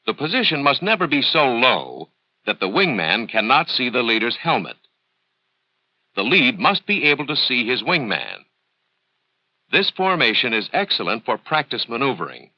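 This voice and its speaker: An American man narrating a formal instructional film script in a measured, authoritative, precise manner, on an old 1970s film soundtrack. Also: mid-range voice, sing-song, no echo. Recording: dull, dry 1970s training film soundtrack.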